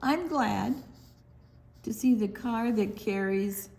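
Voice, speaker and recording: A woman's voice: mid-range voice, slow pace, gravelly, a little mellow. An older woman reading a picture book aloud to young children, drawing out words expressively and adding explanatory asides. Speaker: storytime reader.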